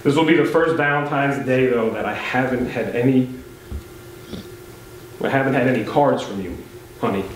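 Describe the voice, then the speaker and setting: Man, live reading of a letter, casual asides, middle pitch, big echoey room. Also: normal speed, long pauses, slight background noise. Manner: reads with offhand chatty asides. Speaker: reader at a poetry night. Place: live poetry event in a beach house venue. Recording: very echoey room with faint ambience.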